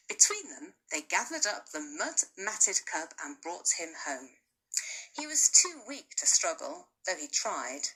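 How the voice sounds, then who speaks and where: A woman teacher reads a children's novel aloud with expressive storytelling. Her pitch rises and falls a lot, and she reads slowly. Her tone is bright with a slightly husky edge. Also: poor audio.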